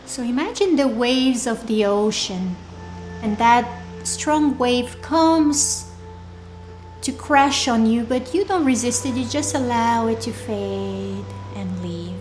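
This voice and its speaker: A woman leading a guided meditation in a soothing, deliberate way, leaving pauses between short phrases. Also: on the high side. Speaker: meditation guide, workshop leader. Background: soft background music.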